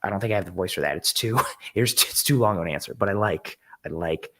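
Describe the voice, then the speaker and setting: A man with a low, hoarse, raspy voice hosts a live sports stream. His voice is worn out, and he talks in an eager, rambling way, full of ums and restarts as he reads out viewer comments. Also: fast talker, fairly bright tone, a little hiss or hum. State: hoarse, voice worn from a game the night before. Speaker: host of a football show. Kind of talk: casual live-stream sports analysis, reading chat comments.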